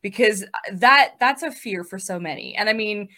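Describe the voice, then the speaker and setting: A woman's voice: mid-range voice, moderate pace, bright, forceful tone. A woman in her thirties moderating a casual online panel, chatty and upbeat, laughing easily between questions. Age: 30s. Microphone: video call.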